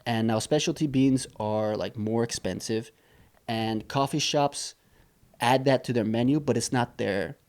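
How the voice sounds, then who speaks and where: A man's voice: mid-range voice, measured pace. A young man from Saipan, a business owner, telling his story in a casual interview with loose, rambling talk full of fillers. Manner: relaxed, rambling, many fillers and restarts. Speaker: entrepreneur guest.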